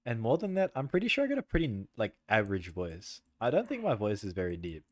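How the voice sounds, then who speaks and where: Young man with a lively, swooping voice. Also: lowish voice, fast, dry recording. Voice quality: soft voice. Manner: rambling, peppered with "like" and "bro", laughs a lot. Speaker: livestreamer. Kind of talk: casual chat with stream viewers.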